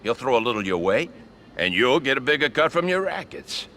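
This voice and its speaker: An actor playing an embittered old-school street mobster in a video game, grumbling in colloquial, streetwise talk. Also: male voice, medium pitch, very animated, a little fast, sharp tone, slight background noise. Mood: matter-of-fact. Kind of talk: video game crime drama acting.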